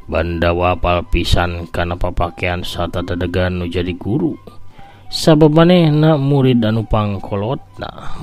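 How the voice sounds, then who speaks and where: A man with a Sundanese accent telling a folk tale as host, in a theatrical, drawn-out radio-story manner. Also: mid-range voice, darkish tone.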